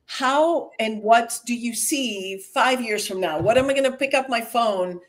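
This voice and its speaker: A woman's voice: fairly high pitch, unhurried. Enthusiastic woman hosting an interview. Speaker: host, communication coach.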